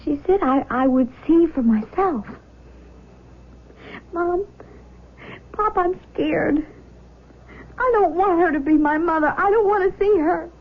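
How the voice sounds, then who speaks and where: An actress playing an anxious, stubborn young adopted daughter in a radio drama, with an American accent and a hesitant, nervous manner. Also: female voice, very high voice, slow pace, pauses often. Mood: scared. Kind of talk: 1970s radio drama acting. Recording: thin, phone-like, noisy vintage radio recording.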